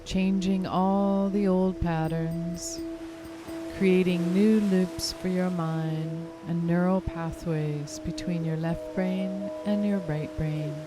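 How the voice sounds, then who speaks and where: A woman leading a guided hypnosis meditation in a soothing, lulling, unhurried way. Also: on the low side, fairly even intonation. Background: music.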